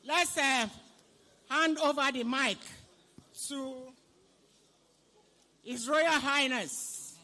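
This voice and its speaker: A man's voice: extremely high pitch, drawn-out words, pauses often, bright, forceful tone. A Nigerian man working as master of ceremonies at a live event, playfully repeating himself and calling out to guests in an echoey hall. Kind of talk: live hosting at a fundraising book launch. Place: large event hall, on a PA microphone. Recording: echoey hall public address.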